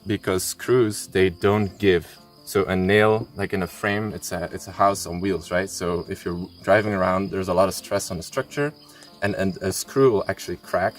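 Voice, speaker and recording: A man from Belgium, giving a relaxed walkthrough tour of a build site and pausing often with fillers. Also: low voice. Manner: relaxed, explanatory, many filler pauses. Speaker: tiny house builder.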